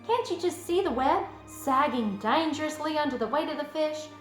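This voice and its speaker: Woman playing high-voiced, eager young storybook girl. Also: moderate pace. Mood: excited, insistent. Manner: earnest, excitable, insistent. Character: eager, imaginative, stubborn young farm girl. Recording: roomy echo, music underneath.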